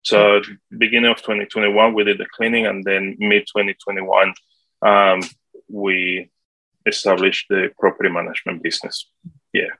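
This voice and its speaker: Colombian-accented man in his forties, bright and dry. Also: lowish voice, level tone, dry recording, poor audio. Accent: Colombian Spanish. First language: Spanish. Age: 40s. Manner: halting, with many fillers. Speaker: guest, property manager. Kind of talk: casual interview answers.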